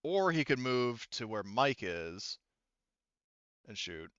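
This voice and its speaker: A man acting as game master, narrating a tabletop roleplaying game in a halting, thinking-aloud way. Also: mid-range voice, sing-song, a bit bright, no echo, muffled recording. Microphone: headset.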